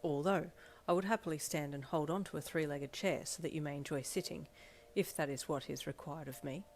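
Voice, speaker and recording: A woman's voice: low voice, brisk, darkish tone. A woman voicing a polite, earnest young man, a devoted boyfriend who speaks formally and precisely, in a story.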